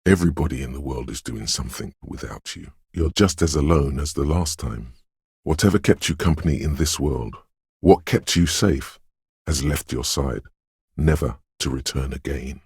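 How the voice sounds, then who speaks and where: An actor voicing the eerie, melancholic inner voice of a detective's intuition in a video game, husky, poetic and ominous. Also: male voice, a bass voice, a little mellow, no room sound, clean studio sound, heavily acted. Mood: mournful, haunting. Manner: ominous, poetic, deliberate delivery. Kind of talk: video game voice acting, second-person dramatic narration.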